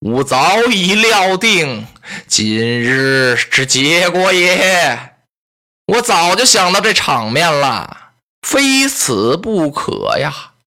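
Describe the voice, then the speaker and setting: A woman voicing a captured male advisor resigned to his death, speaking very slowly in an archaic, drawn-out way. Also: on the low side, big pitch swings, sharp tone, heavily acted. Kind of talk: traditional storytelling character voice.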